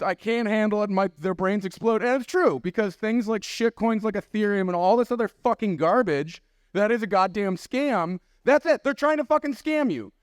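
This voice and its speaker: High-voiced man ranting with profanity. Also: no room sound, clean studio sound. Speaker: panelist. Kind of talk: conference panel talk. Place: conference stage.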